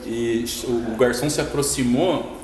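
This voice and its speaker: A man, a teacher and podcast guest, telling a story in a relaxed casual way with frequent tag questions. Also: medium pitch, unhurried. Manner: relaxed, anecdotal, laughs easily, frequent "né?". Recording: roomy echo, long reverb, noisy.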